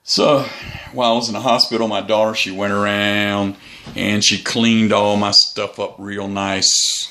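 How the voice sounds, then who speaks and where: A middle-aged man with a low voice and a gravelly, crackling edge. He talks off the cuff like a hobbyist reviewer, rambling along in a folksy, opinionated way, and his tone is bright and forceful. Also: little pitch movement, unhurried. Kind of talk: casual unscripted product review. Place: room in a house.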